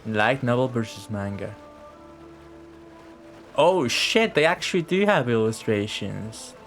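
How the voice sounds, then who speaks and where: A man's voice: medium pitch, animated, slow, soft background music. A man giving casual livestream game commentary, reacting and talking back to the game as he plays. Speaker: game streamer.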